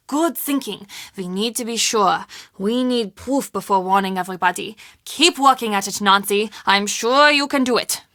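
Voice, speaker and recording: An actress playing an anxious, defensive woman who works at a castle in an adventure game, speaking in an earnest, expressive, conversational way. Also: mid-range voice, no echo, studio quality. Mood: serious. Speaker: video game voice actor. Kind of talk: adventure game character dialogue.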